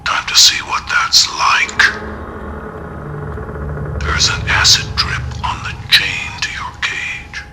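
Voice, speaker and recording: An actor playing a sinister serial killer in a video game, taunting captives in a hushed, gravelly, deliberate and menacing voice. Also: male voice, faint music behind. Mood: cold menace. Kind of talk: video game villain recorded message.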